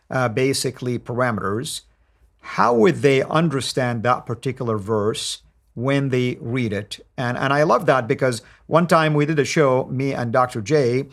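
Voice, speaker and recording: A man hosting a studio interview, courteous and welcoming toward his guest. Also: mid-range voice. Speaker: host.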